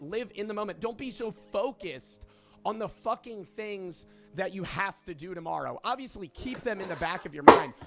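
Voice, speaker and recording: A man's voice: high-pitched, fairly bright tone. A man in his twenties giving an emphatic motivational monologue about living for yourself, swearing often. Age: 20s. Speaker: online video creator. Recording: dull lo-fi sound from a played video.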